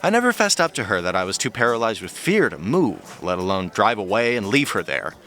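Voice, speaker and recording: A man's voice: on the low side, very animated, fairly quick, fairly bright tone. An actor playing an anxious, wry young American guy in an audio drama, incredulous and easily exasperated. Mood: wry, subdued.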